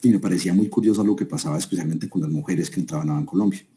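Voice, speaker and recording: A man's voice: low voice, very fast, warm tone. A man from Colombia with a Colombian accent, giving a conversational talk to a live audience and asking them rhetorical questions. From Colombia, Quindío. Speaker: speaker at a business seminar.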